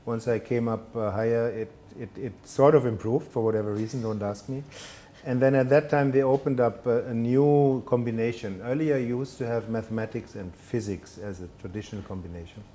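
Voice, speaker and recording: Man in his fifties, dark mellow voice. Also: mid-range voice, a little fast. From Germany. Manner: hesitant, self-deprecating, many fillers and restarts. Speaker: guest, telecom executive. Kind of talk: conversational interview. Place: studio set with brick wall, indoors.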